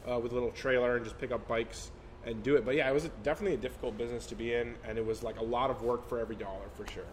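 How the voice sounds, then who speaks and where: A man's voice: medium pitch, fairly even intonation, very fast, a little hiss or hum. A man of twenty-three recounting his early businesses in casual chat, candid and a little complaining. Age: 20s. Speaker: business co-founder guest.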